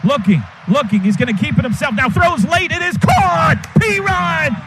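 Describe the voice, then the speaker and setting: High-voiced man calling football on radio. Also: expressive, vocal fry. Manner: rapid clipped calls that rise to shouts on big plays. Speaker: radio play-by-play announcer. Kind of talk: live radio football play-by-play. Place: stadium radio booth. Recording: radio broadcast with loud crowd noise.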